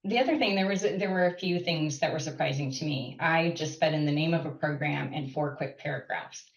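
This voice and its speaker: A woman's voice: low-pitched, fairly quick. A woman co-hosting a webinar, who takes up questions thoughtfully and adds her own thoughts. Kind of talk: conversational remarks on a call. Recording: distant, roomy, dull call audio.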